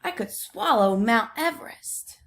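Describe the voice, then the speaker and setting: The ocean talks as a chilled-out, bragging surfer dude voiced by a woman reading a picture book. The voice sits a little high. The delivery is slangy and breezy, full of easygoing pride. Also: expressive, a little slow, fairly bright tone. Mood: boastful, relaxed. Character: the ocean as a laid-back, boastful surfer dude. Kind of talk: picture book read aloud.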